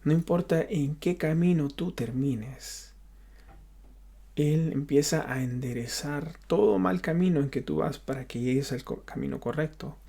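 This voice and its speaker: A man from Honduras with a Honduran Spanish accent, a pastor giving an unscripted personal testimony, often halting and repeating himself as he searches for words. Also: a little high, creaky, warm tone, breathy edge.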